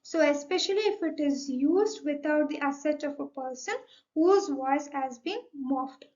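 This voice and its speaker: A woman's voice: sits high, a little slow, darkish tone. A young woman with an Indian accent gives an explanatory slide presentation, methodically signposting each point. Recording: dull, lo-fi microphone sound.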